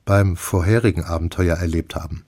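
A man's voice: low-pitched, fairly even intonation, brisk, a little mellow, breathy edge, dry recording. A man hosting a radio interview, summarising and prompting his conversation partner. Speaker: radio host.

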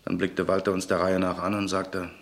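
A man's voice: deep voice, monotone, a little fast, dry recording. An actor playing a brooding man waiting to take revenge, narrating his memories tensely in a radio play. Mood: grim. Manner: tense, grave inner monologue. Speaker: narrator and main character.

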